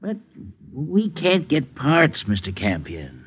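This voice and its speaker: A man's voice: mid-range voice, huge pitch swings, slow pace, husky, full character voice. An actor playing a suspicious, easily flustered boarding-house landlord in a 1950s radio drama, hesitant and folksy in his grumbling. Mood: defensive, irritated.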